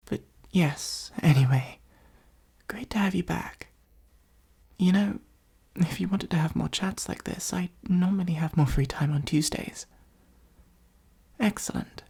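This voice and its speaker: A woman playing a possessive supervillain who is secretly fond of a hero, in an intimate ASMR roleplay, speaking softly and breathily with an earnest, gently wry delivery. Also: fairly low pitch, full character voice. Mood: relieved, playful. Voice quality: soft, husky, breathy, often near whisper.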